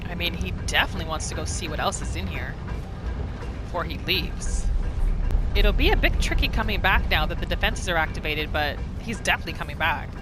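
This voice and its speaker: Animated woman doing gameplay commentary. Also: on the low side, fairly quick, over music, rough recording. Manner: excitable, exclaiming, narrates her character's thoughts. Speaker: gaming video host.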